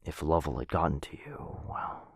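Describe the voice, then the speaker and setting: Male actor as protective vampire lord, very low pitch, breathy half-whispers, tender, hesitant, earnest, ASMR roleplay, close dry sound. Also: expressive, normal speed, dry recording, full character voice. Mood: remorseful, earnest. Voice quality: breathy, husky, often dropping to a whisper. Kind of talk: intimate boyfriend ASMR roleplay audio.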